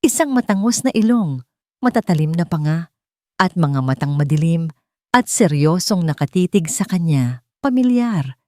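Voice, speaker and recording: Low-voiced woman telling a dramatic story. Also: very animated, normal speed, mellow, no room sound, studio quality. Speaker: narrator of romance audio stories. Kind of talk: dramatic scripted story narration.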